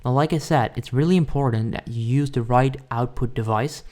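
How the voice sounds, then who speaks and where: A man narrating a casual software tutorial, talking informally and directly to viewers as he gives instructions. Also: medium pitch, brisk. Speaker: tutorial host.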